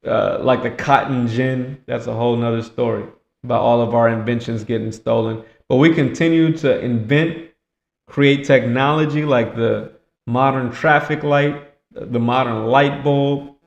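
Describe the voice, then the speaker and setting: A man's voice: medium pitch, fairly even intonation, measured pace, vocal fry, highs cut off. A man giving political commentary in an emphatic, rhetorical way, repeating phrases for effect. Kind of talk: political commentary mixed with reading aloud. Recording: echoey, roomy sound.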